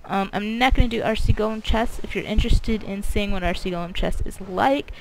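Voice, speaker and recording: Creaky-voiced woman casually narrating gameplay. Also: mid-range voice, brisk. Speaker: gamer hosting a video series. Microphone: mouth very close to microphone. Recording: breathy close-mic overload, clicks, faint noise.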